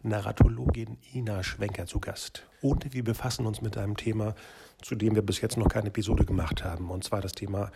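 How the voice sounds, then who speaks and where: A man's voice: on the low side, fairly even intonation, brisk, creaky, heavy background noise. A man hosting a podcast, chatting casually with a guest and asking questions. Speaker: podcast host, interviewer. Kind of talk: casual podcast interview chat.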